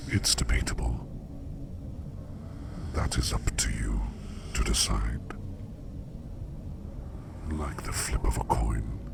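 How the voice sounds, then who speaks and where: Man playing a gravelly, whispering, very deep sleep demon. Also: heavily acted. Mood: calm, enigmatic. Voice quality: breathy whisper over very deep gravelly creak. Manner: slow, formal, musing, deliberate phrasing. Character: curious, enigmatic male sleep demon visiting dreams. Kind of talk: whispered ASMR roleplay, personal attention.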